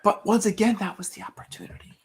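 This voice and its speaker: A man chatting casually as a panelist on a discussion show, telling things in an animated way and acting out reactions. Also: high-pitched, fast, vocal fry, breathy edge. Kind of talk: casual movie discussion chat.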